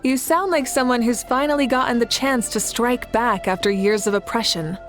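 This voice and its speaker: An actress playing a confident, composed heroic leader with a playful side in a video game, speaking in an assured, wry manner. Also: female voice, fairly high pitch, normal speed, natural voice. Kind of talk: video game character dialogue. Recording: music behind the voice.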